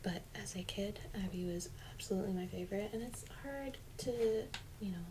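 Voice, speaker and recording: Soft whispery young woman doing asmr, chatty and slangy, super noisy recording with little clicks. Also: mid-range voice, fairly quick. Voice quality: breathy, often near-whispered. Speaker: ASMR video host. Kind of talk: soft-spoken ASMR doll unboxing, casual chat. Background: loud noise, clicks and crinkles of unboxing.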